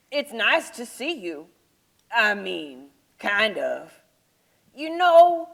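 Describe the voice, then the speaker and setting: Creaky voice, slow, gentle shy Yeti creature acted by a woman, hesitant, mild, puppet show. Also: a little high, heavily acted. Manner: hesitant, reluctant, mild-mannered. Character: gentle, timid, reluctant, cute fluffy Yeti creature. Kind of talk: children's puppet show acting.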